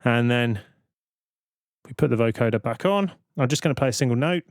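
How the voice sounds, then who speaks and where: A man's voice: medium pitch, lively intonation, a little fast, no echo, studio quality. A man presenting a tutorial in an informal, explanatory way, thinking aloud as he goes. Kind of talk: informal software tutorial narration.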